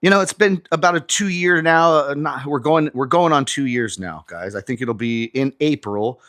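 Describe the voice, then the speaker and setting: A man's voice: medium pitch, moderate pace, a bit bright, no echo, clean studio sound. Chatty forty-something man live from a garage. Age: 40s. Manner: chatty, talks straight to viewers, reads out comments. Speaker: livestream host. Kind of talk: casual live-stream chat. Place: home garage gym. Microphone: phone camera on an auto-tracking mount.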